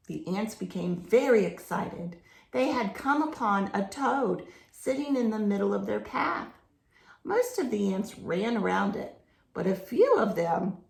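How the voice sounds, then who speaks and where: A woman reading a picture book aloud to children in an expressive, explanatory storytelling manner. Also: mid-range voice, creaky, reverberant. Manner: explains to young listeners with simple questions and expressive emphasis.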